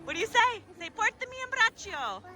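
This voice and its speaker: A woman's voice: extremely high pitch, big pitch swings, brisk. A woman in casual, playful talk with small children, coaxing and encouraging them and repeating phrases for them to copy. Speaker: aunt teaching a toddler Italian phrases. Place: outdoors in a busy theme park. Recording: loud crowd and music behind. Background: music, crowd, park bustle.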